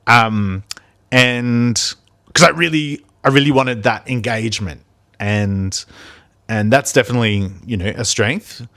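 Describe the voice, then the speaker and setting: A man's voice: mid-range voice, measured pace, fairly bright tone, no echo, clean studio sound. A man, a primary school teacher hosting a podcast, who talks in a reflective, rambling way with many ums and restarts. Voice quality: big voice. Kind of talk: reflective casual podcast chat.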